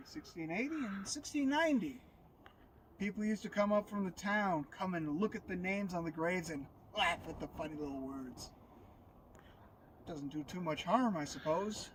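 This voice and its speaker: Man playing a high-voiced folksy cemetery guide. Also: distant mic, slight background noise, close to an everyday voice, poor audio. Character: folksy small-town New England cemetery guide, wry and wistful. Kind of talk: dramatic monologue, oral interpretation.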